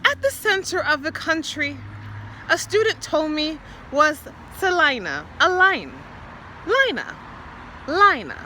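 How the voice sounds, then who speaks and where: A woman poet performing an improvised spoken-word monologue outdoors, theatrically, in short halting phrases with repeated words. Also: high-pitched, sing-song, pushed and bright, a little hiss or hum. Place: outdoors, standing or walking.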